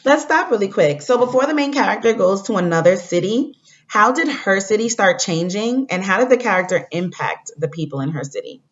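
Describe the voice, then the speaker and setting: A woman teacher giving a read-aloud lesson to young pupils, speaking in a deliberate way and addressing the children directly. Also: medium pitch, expressive, a little hiss or hum. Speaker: elementary school teacher. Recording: dull lo-fi recording.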